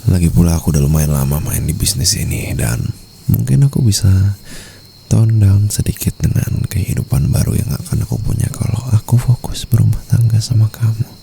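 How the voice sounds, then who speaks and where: Man playing a very deep, dark-voiced Indonesian mafia boss. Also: moderate pace, a little hiss or hum. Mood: tender, earnest. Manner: intimate, teasing drawl, often dropping into breathy whispers. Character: doting, teasing male mafia boss, seductive husband. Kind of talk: ASMR romantic roleplay.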